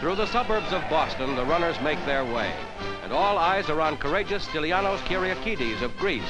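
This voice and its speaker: A man's voice: fairly high pitch, animated, moderate pace, poor audio. A man with an American accent narrating a 1940s newsreel in a formal, declamatory announcer style. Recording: 1940s newsreel soundtrack, loud music behind.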